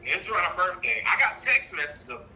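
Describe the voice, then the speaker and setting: American male caller, very bright forceful voice, a bit high, rambling casual storyteller, faint noise behind. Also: sing-song, fast talker, muffled recording. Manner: rambling, frequent "you know". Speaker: caller, father seeking custody.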